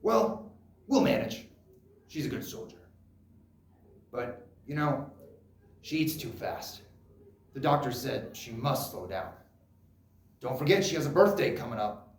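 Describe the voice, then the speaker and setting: A man playing a proud, stubborn father of nearly eighty in a solo stage drama, gruff and rambling as he brushes off concern. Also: mid-range voice, sing-song, unhurried, long pauses. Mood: matter-of-fact. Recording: roomy, echoing live recording.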